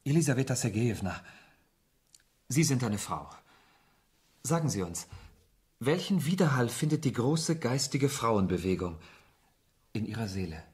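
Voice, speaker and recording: A young poet and idealist as a male actor plays him in a radio drama. His mid-pitched voice speaks in formal, fervent phrases, earnest to the point of being overwrought. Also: sing-song, normal speed, long pauses, darkish tone, lightly acted. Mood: solemn appeal. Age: young adult. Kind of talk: German radio play acting.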